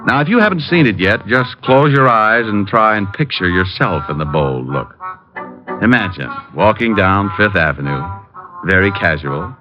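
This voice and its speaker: A man's voice: fairly low pitch, lively intonation, normal speed. A man speaking dry, sardonic detective patter in 1950s radio acting style. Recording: dull lo-fi old radio transcription with music.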